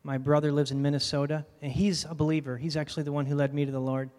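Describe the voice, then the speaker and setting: A soft-spoken man with a fairly high voice talks about himself before a congregation. His mild, rambling speech carries a long reverb from the hall around him. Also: level tone, fast. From Michigan, USA. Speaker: pastoral candidate. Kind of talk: casual personal testimony. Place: church hall.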